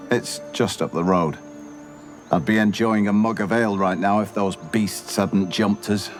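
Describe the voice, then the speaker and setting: A man playing a weary, roguish caravan smuggler in a video game, halting and conspiratorial. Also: sits low.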